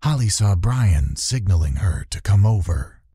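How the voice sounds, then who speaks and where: A low-voiced man reads a children's fantasy tale with theatrical suspense. His delivery is unhurried and very husky, with lively swings in intonation. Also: no echo, clean studio sound. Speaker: audiobook narrator. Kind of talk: dramatic scripted children's fantasy narration.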